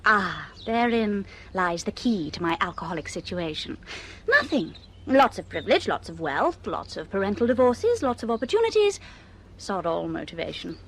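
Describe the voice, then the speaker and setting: A bored, privileged young woman in a radio drama. Her pitch leaps about dramatically as she teases and mocks herself with theatrical flippancy. There is faint noise behind her voice. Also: sing-song, moderate pace. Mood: breezy, self-mocking. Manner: self-mocking, theatrical, teasing.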